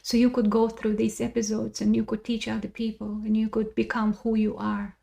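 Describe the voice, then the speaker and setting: A woman's voice: fairly high pitch, monotone, normal speed, creaky, mellow. A woman leading a guided visualization with intense, emotive, repetitive phrasing, sometimes dropping toward a whisper. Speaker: guided meditation leader. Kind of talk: guided visualization, improvised storytelling with acted dialogue.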